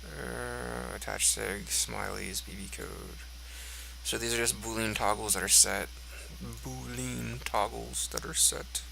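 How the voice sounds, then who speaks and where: A man's voice: fairly low pitch, fairly even intonation, takes it slowly, vocal fry, background noise. A congested man thinking aloud as he walks through code on screen, musing and offhand. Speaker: code reviewer. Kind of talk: screen-recorded code walkthrough.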